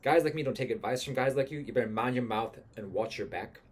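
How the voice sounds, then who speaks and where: A man's voice: mid-range voice, fast talker, close to an everyday voice. A man voicing a cocky, menacing 1920s gangster who talks in slangy tough-guy threats, read from a musical script. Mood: threatening.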